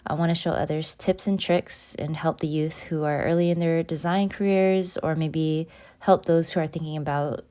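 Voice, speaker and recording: A woman's voice: deep voice, steady tone, normal speed, dark tone. A woman in her thirties from Seattle, a graphic designer casually talking through her design work in a screen walkthrough, chatty and friendly, with lots of "um" and "you know". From Seattle, USA.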